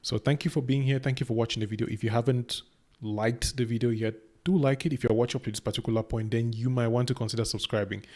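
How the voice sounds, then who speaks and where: A man's voice: mid-range voice, steady tone, fast, darkish tone. A young man from Cameroon with a Cameroonian accent, hosting a reaction video and thinking aloud in a loose, rambling way with many restarts. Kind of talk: casual reaction commentary.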